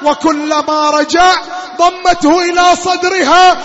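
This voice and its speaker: A man preaches a lamentation in a bright, forceful wail, half sung and full of anguish. He holds a narrow, insistent line and stretches his words slowly. The sound is dull and lo-fi. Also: very high voice, little pitch movement. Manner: anguished, wailing, drawn-out delivery. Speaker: religious mourning reciter and preacher. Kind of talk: Shia lamentation sermon, half-chanted. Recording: long hall reverb, dull lo-fi sound, music-like backing.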